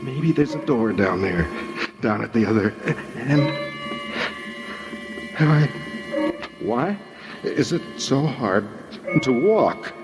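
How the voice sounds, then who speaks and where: A man's voice: mid-range voice, lively intonation, takes it slowly, long pauses, low-quality recording. An actor playing a frightened, bewildered, pleading man in a nightmare, in 1950s radio drama style. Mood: desperate, afraid. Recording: vintage radio recording with music behind.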